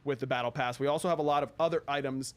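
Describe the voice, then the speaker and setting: A man's voice: mid-range voice, steady tone, very fast. A man hosting a casual game update presentation with an upbeat, enthusiastic manner. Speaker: host of a game update show. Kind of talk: casual live presentation and commentary.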